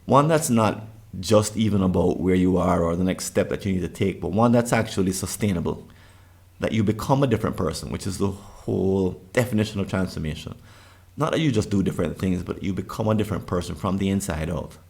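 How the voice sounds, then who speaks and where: A man's voice: low-pitched, a little fast, gravelly, a little mellow. A middle-aged man with a Jamaican accent, earnestly presenting a summit session and often restarting his phrases. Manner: earnest, with frequent restarts and repeated words.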